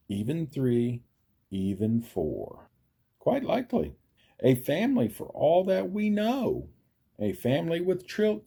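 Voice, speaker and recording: A man's voice: on the high side, vocal fry, warm tone, breathy edge, pitched above the performer's own voice, exaggerated voice. A man voicing an earnest, kind and protective elephant in a storybook, with emphatic, pleading delivery.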